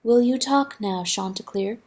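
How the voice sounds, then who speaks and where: A woman voicing a gentle, concerned hen wife in an audiobook fable, soft and soothing in manner. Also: fairly high pitch, measured pace. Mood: quiet questioning.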